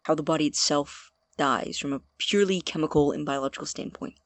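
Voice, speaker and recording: A young male student experimenter played by an actor in an audio drama, evasive and pedantic. He picks through formal explanations haltingly in a mid-range voice, recorded very dry. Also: mid-range voice, no room sound, lightly acted. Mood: earnest, explanatory. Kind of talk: naturalistic audio drama acting.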